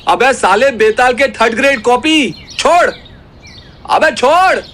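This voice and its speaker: A male actor plays an irritable young friend in an audio comedy. He snaps and grumbles very high for a man, with faint background noise. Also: animated, measured pace, fairly bright tone, exaggerated voice. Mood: exasperated. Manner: snappish, grumbling. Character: irritable, sleep-deprived young man, exasperated buddy. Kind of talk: comedy audio drama acting.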